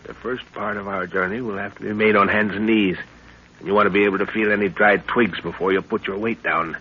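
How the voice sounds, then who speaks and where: An actor playing a middle-aged, seasoned jungle adventurer, fatherly and commanding, with measured instructions in a 1930s radio drama. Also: lowish voice, fairly even intonation, close to an everyday voice. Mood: instructing. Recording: noisy dull 1930s radio recording.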